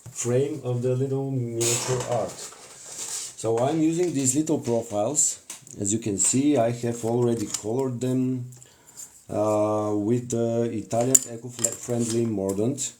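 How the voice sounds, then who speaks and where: A man with a Bulgarian accent talks slowly through a live crafting session, full of pauses, fillers and restarted phrases. His voice is deep-toned and mellow, picked up by a phone in an indoor workshop with a faint hiss behind it. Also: fairly even intonation. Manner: halting, many fillers and restarts, non-native grammar. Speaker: craft livestream host. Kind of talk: casual live crafting demonstration.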